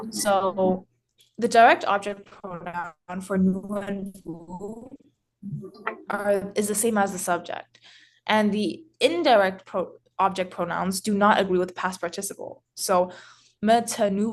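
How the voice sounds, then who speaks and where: A woman teaching French grammar in English, explaining slowly with many pauses, restarts and filler words. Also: mid-range voice. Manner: hesitant, frequent restarts and "so". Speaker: French tutor.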